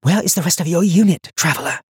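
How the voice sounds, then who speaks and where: A man voicing a composed, wary female traveler in an audiobook, hushed, firm and controlled. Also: high-pitched, quick pace, breathy edge, no room sound, clean studio sound, pitched above the performer's own voice. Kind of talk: audiobook character acting.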